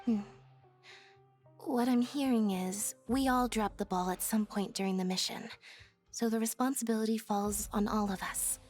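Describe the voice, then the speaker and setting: A woman playing a calm, reserved, caring young woman in a video game, speaking evenly and deliberately. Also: a little high, faint music behind, close to an everyday voice. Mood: gentle, reasoning. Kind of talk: scripted video game voice acting.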